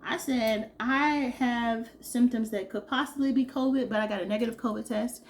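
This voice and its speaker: A sick, congested young woman from Georgia chatting casually in a vlog, telling a story in an animated way and acting out what people said. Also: a little high, normal speed. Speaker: vlogger.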